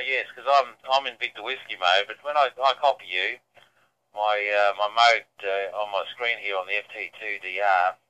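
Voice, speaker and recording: A man's voice: on the low side, sharp tone. A man heard over a two-way radio, chatting informally as an amateur radio operator. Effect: radio transmission. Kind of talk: unscripted ham radio exchange. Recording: dull band-limited two-way radio audio.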